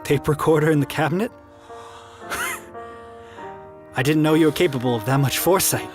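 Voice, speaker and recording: A man's voice: on the high side, expressive, moderate pace, natural voice. A young man acting a loyal, protective, anxious friend in a student radio drama, urgent and emotional. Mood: shocked. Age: young adult. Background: music.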